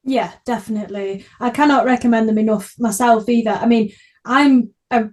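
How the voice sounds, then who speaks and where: Woman podcast host, bit higher voice, chatty, informal and keen. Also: fairly even intonation, normal speed, darkish tone. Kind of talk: casual podcast interview.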